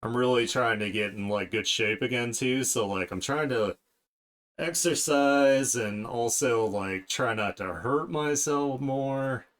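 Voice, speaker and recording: A man's voice: medium pitch, a little slow, fairly bright tone. A man talking casually to viewers on an art livestream, rambling along as he works. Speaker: art streamer hosting a livestream. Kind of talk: casual livestream chat while drawing.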